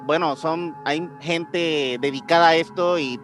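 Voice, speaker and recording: High-voiced man co-hosting a podcast. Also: steady tone, unhurried, music in the background. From Puerto Rico. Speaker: podcast co-host. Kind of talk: casual podcast talk.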